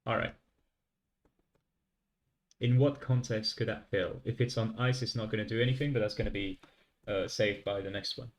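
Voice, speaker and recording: A man's voice: on the low side, fairly even intonation, moderate pace, pauses often. A young man from France with a light French accent, thinking aloud as he codes on a livestream and chats with his viewers. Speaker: streamer. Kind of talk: casual coding livestream.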